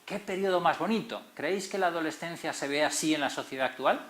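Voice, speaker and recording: A middle-aged man with a Madrid Spanish accent giving an expressive public lecture, stressing key words as series moderator. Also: a little high, fast, slight background noise. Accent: Madrid Spanish, not very Canarian. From Tenerife, Canary Islands. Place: lecture hall. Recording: roomy echoey hall.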